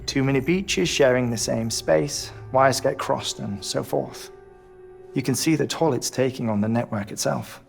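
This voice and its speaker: A man playing a grave, deliberate scientist in a video game cutscene, speaking formally and with measured phrasing. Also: mid-range voice, creaky, faint music behind. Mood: serious, explanatory. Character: grave, deliberate male scientist advising the hero.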